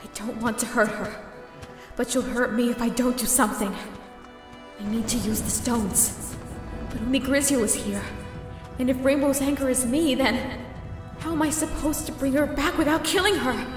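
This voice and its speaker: Woman doing a high earnest hero girl, worried but set on things, music under. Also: moderate pace, reverberant, big-room sound, natural voice. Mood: torn. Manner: anxious, earnest. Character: young heroine, earnest and determined. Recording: music score behind.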